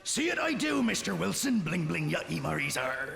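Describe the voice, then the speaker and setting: A man voicing a gravelly old bosun with a piratical growl, his bright, lively voice rolling around a roomy live hall. A broad live radio comedy turn. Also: on the high side, normal speed, crowd noise, exaggerated voice. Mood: gruff. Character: gruff old male ship's bosun, piratical.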